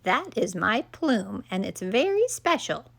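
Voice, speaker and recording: Storybook quail, male, proud and pompous, voiced by a woman. Wildly varied pitch, gravelly edge, unhurried. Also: big pitch swings, exaggerated voice. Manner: pompous, showy introductions and explanations. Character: talking male quail, proud, cheeky and self-important.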